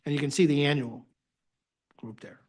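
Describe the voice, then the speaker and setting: A man's voice: fairly high pitch, steady tone, moderate pace, a little mellow. A man with a Boston accent, giving a conversational presentation to a board at a public meeting, with phrase ends that trail off. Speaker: agency director presenting to a board.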